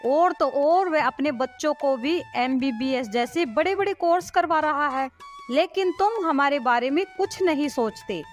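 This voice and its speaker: Woman voicing a high-pitched, worried nagging cartoon wife. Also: expressive, a little slow, soft background music. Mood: worried, insistent. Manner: scolding, insistent. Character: worried, nagging wife doubting her husband's partner.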